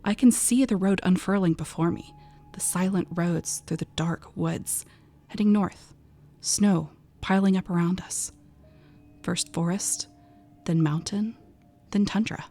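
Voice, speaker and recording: Female narrator for eerie audio drama: frightened young woman, expressive first-person delivery, breathy under tension. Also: lowish voice, unhurried. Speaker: voice actor narrating a short story.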